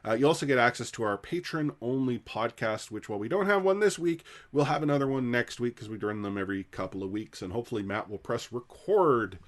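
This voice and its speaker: Man, podcast host, steady plug reading, mid pitch. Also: fairly quick, hardly any pauses. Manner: steady, announcing plugs. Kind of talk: reading show plugs and hosting.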